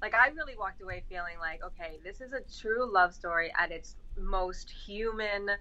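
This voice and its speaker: A woman's voice: mid-range voice, moderate pace. A woman from the Bronx, an executive coach, talking energetically and at length as a podcast guest. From the Bronx, New York. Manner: energetic, talkative, many fillers. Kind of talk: casual podcast interview. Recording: dull lo-fi sound.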